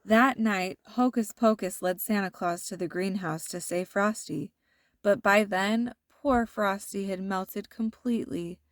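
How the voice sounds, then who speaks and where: A woman reads a children's story aloud with expressive, deliberate delivery. Her pitch is middling, and the recording is close and free of room echo. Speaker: storybook narrator.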